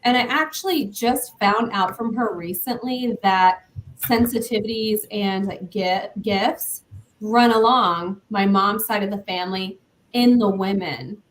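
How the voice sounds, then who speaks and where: A woman's voice: medium pitch, measured pace, slight background noise, poor audio. Laughing woman guest from Iowa. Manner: friendly, laughs often. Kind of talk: casual interview.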